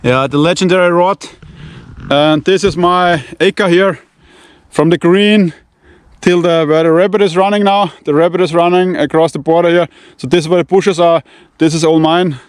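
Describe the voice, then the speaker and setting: Blunt high-voiced Austrian man. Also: fairly even intonation, moderate pace, smooth, no echo. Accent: Austrian German. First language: Austrian German. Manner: blunt, profane, rambling in broken English. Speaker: knife-testing channel host. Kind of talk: casual walking vlog. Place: outdoors among vineyards and fields.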